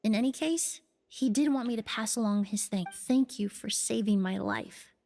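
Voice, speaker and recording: A woman voicing a calm, reflective female perfumer in a video game, speaking in a soft, thoughtful, measured way. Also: on the high side, creaky. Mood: grateful. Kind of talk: game voice acting.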